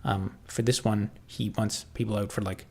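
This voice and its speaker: A man with a Canadian accent chatting casually on a livestream, answering viewers and thinking aloud in halting, restarting sentences. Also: fairly low pitch, steady tone, gravelly, breathy edge.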